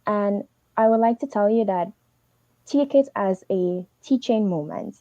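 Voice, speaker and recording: A young woman from Trinidad and Tobago, giving fast, earnest advice as a teaching assistant on a livestream. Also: mid-range voice, warm tone, dry recording, lo-fi sound. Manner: fast, earnest, advising directly.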